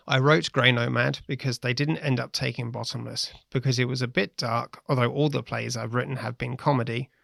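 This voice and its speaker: Gravelly man telling stories. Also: mid-range voice, a bit bright, dry recording, studio quality. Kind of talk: reading quoted first-person anecdotes.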